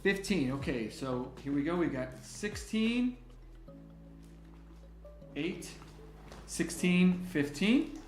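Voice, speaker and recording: Excitable higher-voiced man in echoey room. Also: takes it slowly, pauses often. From Miami, Florida, US. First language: Spanish. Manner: excitable, gushing, thanks viewers by name. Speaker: livestream host running a card giveaway. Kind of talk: live-stream chat, counting out picks. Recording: echoey room with faint music.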